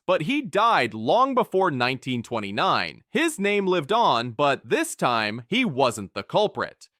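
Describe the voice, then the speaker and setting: A man reads out quiz questions and answers in a scripted, energetic way. His voice is bright and slightly high, and his pitch swings widely for emphasis. The sound is close and dry. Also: dry recording. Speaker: quiz show narrator.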